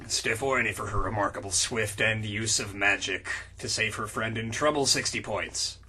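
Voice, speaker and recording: A man's voice: lowish voice, normal speed, sharp tone, breathy edge, voice pushed down, full character voice. A man voicing a stately wrestler-turned-wizard headmaster handing out awards in a comic reading. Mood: stately announcing.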